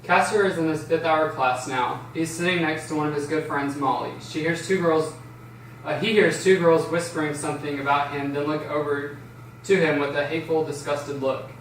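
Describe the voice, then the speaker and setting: A man's voice: a little high, fairly even intonation, moderate pace, fairly bright tone. A man reading scripted stage directions as the narrator of an amateur table read. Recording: roomy, distant, faint noise.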